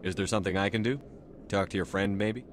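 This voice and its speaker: A man plays a commanding military leader in a sci-fi game. He talks fast and low, with direct, clipped questions. There is clear ambient noise behind him. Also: fairly even intonation, close to an everyday voice. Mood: measured. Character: brisk, commanding human military squad leader. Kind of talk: video game character dialogue.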